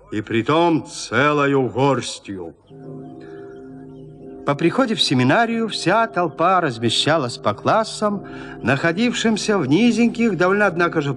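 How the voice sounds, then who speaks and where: A man narrating a literary tale in an expressive, dramatic storytelling manner, as in an audio play. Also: on the high side, long reverb tail.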